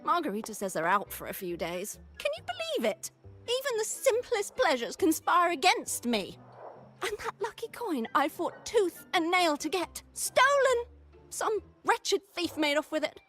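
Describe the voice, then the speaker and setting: A woman's voice: very high voice, sing-song, measured pace, a bit bright, exaggerated voice. An actress voicing a dramatic, self-pitying merchant woman in a video game, with game music behind her. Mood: aggrieved. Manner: dramatic, complaining.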